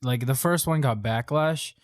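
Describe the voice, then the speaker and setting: Young man, medium pitch, blunt, understated, casual review co-host, very little room sound. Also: unhurried, no echo, studio quality. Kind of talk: casual opinion chat.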